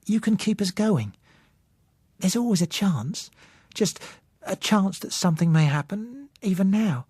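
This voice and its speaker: Audiobook role: male narrator as a timid eighteen-year-old girl, high-pitched, hesitant and pleading, close dry recording. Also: lively intonation, a little slow, darkish tone, breathy edge, no room sound, heavily acted. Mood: wistful, hopeful. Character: timid, trembling blind eighteen-year-old young woman. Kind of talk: audiobook character dialogue.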